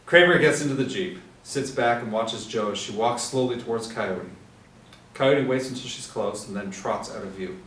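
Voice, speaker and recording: A man's voice: mid-range voice, moderate pace, a bit bright. A man reading out scripted screenplay action and stage directions as the narrator. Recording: echoey room, distant mic, faint noise.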